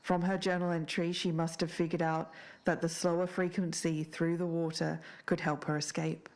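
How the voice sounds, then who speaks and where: A woman delivers scripted narration. Her pitch sits slightly low, and she explains things in measured phrases. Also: flat delivery, darkish tone. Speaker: podcast narrator.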